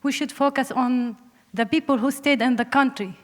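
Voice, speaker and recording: A young woman with a Syrian Arabic accent speaks in a fairly high voice. Her English is halting and earnest, and the hall rings with long reverb around her. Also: steady tone. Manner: halting non-native English. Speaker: audience member, film student. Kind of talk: earnest question from the floor. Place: large panel hall, public address microphone.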